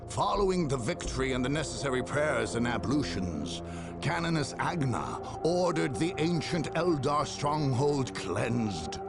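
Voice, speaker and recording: An actor playing a solemn, zealous chronicler of a grim war, giving dramatic game narration in a formal, portentous manner with deliberate emphasis. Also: male voice, mid-range voice, creaky. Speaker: narrator of game campaign endings. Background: music.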